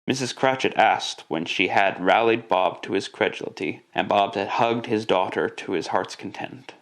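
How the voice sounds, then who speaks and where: A man's voice: lowish voice, moderate pace, a bit bright. A man narrating a classic radio drama in a theatrical, emphatic storytelling style.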